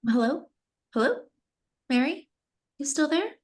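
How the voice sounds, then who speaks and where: A woman's voice: high voice, lively intonation, unhurried, a little mellow. A woman playing a young female pageant contestant in a screenplay table read. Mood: nervous.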